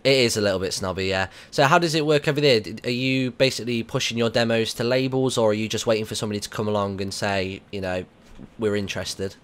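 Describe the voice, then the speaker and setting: A man's voice: lowish voice, fairly even intonation, quick pace, a bit bright. A British man hosting a casual radio interview, asking chatty, informal, rambling questions. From United Kingdom.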